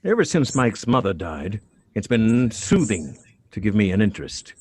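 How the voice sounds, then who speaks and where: A man's voice: fairly low pitch, sing-song, moderate pace, darkish tone, breathy edge. A man playing a genial middle-aged father in a live radio drama reading, friendly and inquisitive.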